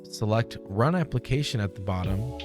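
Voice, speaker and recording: A young man hosting a casual software tutorial, speaking informally into a close desk microphone. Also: lowish voice, lively intonation, normal speed. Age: young adult. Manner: informal, conversational. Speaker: tutorial host. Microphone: close desk microphone on boom arm. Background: music.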